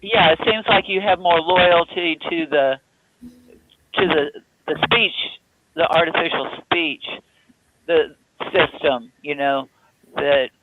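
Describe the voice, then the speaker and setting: Slow, halting man on a tinny phone line. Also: fairly high pitch, noisy background. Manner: slow, halting, searching for words. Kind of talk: casual discussion.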